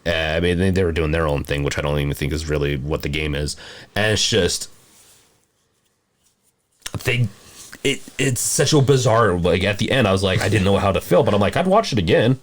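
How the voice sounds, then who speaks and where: A man hosting a casual movie discussion podcast, talking in a halting, rambling way and often rephrasing mid-sentence. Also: low voice, lively intonation. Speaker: podcast host.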